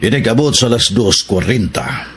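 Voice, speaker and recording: A man's voice: on the low side, normal speed, noisy background, natural voice. A man acting a commanding criminal schemer in a Filipino radio action drama, with a Filipino accent. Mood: scheming, assured. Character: commanding schemer laying out a bank robbery plan. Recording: clear music bed behind voice.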